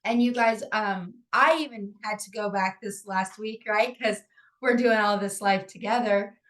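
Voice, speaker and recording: A woman in her forties with a raspy, hoarse voice, hosting a casual podcast in an upbeat, encouraging way with frequent fillers. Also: mid-range voice, unhurried, a bit bright. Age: 40s. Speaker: podcast host and author.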